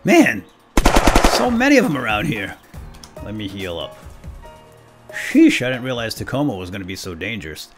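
A man's voice: on the low side, big pitch swings, moderate pace, long pauses, over loud music. A man giving casual let's-play commentary, playfully talking to himself with mild folksy exclamations. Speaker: gaming YouTuber, let's-play host.

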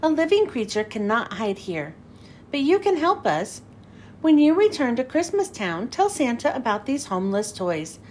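A woman's voice: a little high, very animated, moderate pace, a little mellow, a little hiss or hum. Solemn, kindly lion king, read by a woman.

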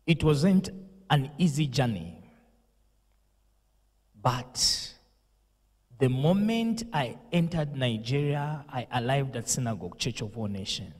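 A young pastor speaks slowly and solemnly into a handheld mic, with the emphasis of a preacher. His voice is husky, and a long reverb trails behind it. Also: medium pitch, lively intonation, darkish tone. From Zambia. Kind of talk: condolence message and prayer. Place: indoor studio set with purple lighting.

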